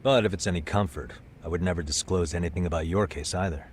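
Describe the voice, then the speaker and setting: A man's voice: deep voice, quick pace, a little hiss or hum, lightly acted. A man playing a cool, persistent private detective in a video game cutscene, casual, composed and probing. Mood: probing, reassuring.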